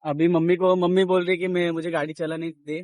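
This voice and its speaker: A man's voice: high voice, flat delivery, a little fast, a little mellow, no echo. A young man chatting casually and teasingly to his vlog audience, switching languages. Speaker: vlogger. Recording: clearly noisy outdoor handheld recording.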